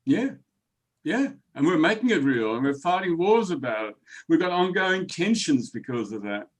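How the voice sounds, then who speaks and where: A man's voice: on the high side, big pitch swings, moderate pace. A man who grew up in the Netherlands, taking part in a group book discussion, emphatic and prone to stammering and repeating words.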